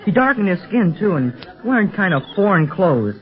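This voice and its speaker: Boy hero for a radio serial, high eager bouncy voice, dull vintage sound. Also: normal speed. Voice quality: eager, excitable. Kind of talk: 1930s radio drama acting. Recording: dull old radio transfer.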